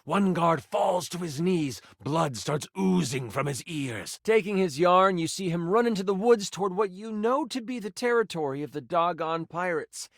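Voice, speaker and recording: A high-voiced, theatrical game master narrates fantasy combat for a video game. His delivery is animated and dramatic, savoring key words, recorded dry and close. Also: normal speed, hardly any pauses, dry recording. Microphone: close studio mic.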